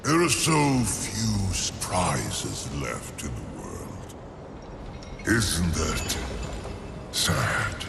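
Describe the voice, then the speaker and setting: An actor playing an ancient, weary lord of the underworld in a video game, solemn, cryptic and measured. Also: male voice, deep voice, very animated, vocal fry, heavily acted. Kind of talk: dramatic video game acting. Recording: cavernous echo, loud background noise.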